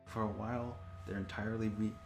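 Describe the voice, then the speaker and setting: A man's voice: on the low side, little pitch movement, brisk, reverberant, over music. A man in a casual, joking read-aloud chat, speaking as one of two co-hosts. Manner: joking, prone to giggling while reading. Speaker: co-host of a reading session.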